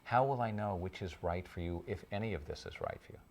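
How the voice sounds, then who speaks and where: A man, a speech therapist, narrating a documentary in a calm, measured way. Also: deep voice.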